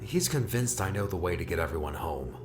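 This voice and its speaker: A man's voice: low-pitched, lively intonation, brisk, over music. A man voicing an earnest young male student who speaks plainly and questioningly, a little exasperated, in an audiobook.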